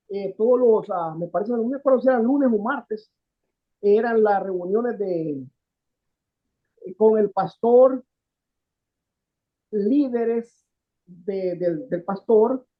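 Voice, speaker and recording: A man from Houston, a church leader in the class, telling a story in a slow, searching, reflective way. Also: extremely high pitch, lo-fi sound. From Houston, United States.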